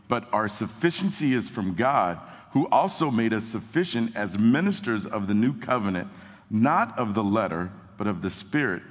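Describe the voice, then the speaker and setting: A young man, a youth pastor, giving a casual sermon and reading scripture aloud into an amplified microphone in a church. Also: on the low side. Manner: casual and self-deprecating, reads scripture with rising emphasis. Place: church hall with amplified sound. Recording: long hall reverb.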